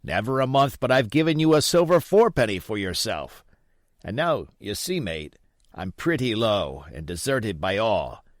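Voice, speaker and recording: A man voicing a sick, desperate old pirate in a storybook, wheedling and blustering in rough sailor's dialect. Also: fairly low pitch, very animated, normal speed, dry recording, studio quality. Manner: rough nautical dialect, pleading and cursing.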